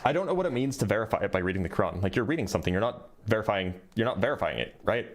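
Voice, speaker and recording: A man's voice: fairly low pitch, sing-song, rapid-fire, slight background noise. A man hosting a debate call, probing and pressing the caller for specifics. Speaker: debate host.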